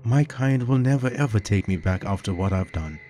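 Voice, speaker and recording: A man voicing a terrified young male shapeshifter in a spoken story, pleading in a panicked, high-strung way. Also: animated, moderate pace, warm tone, slight background noise, lightly acted.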